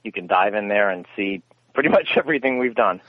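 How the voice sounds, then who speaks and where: A man's voice: lowish voice, animated, fast talker, no room sound. Rambling man on a tinny phone line. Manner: rambling, self-correcting storyteller. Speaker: guest, radio theater founder. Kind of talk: conversational interview answers.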